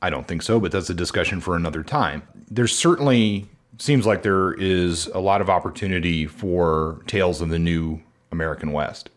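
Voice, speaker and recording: A man with a very low, deep voice hosts a podcast conversation. He talks in a relaxed, easygoing way and pauses often on fillers. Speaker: podcast host.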